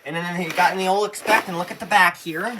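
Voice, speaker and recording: A man's voice: high-pitched, fairly even intonation, fast talker. A man giving a casual hands-on tutorial in an informal, folksy, rambling way, recorded on a handheld camera. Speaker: tutorial presenter. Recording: clear background noise, handling clicks.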